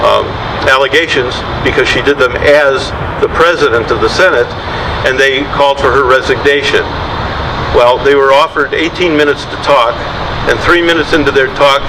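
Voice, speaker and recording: A man delivers a public statement at a press event in a mid-pitched voice. He is picked up from a distance, with clear rumbling noise around him. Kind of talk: public address, recounting events. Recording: distant mic, loud room rumble.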